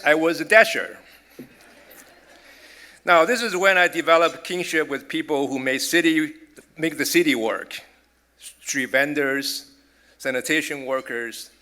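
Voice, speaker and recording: A middle-aged man with a Taiwanese accent delivers a formal dinner speech, measured and earnest, with small restarts now and then. His voice sits a little high for a man and rings bright and clear. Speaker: college president giving a speech.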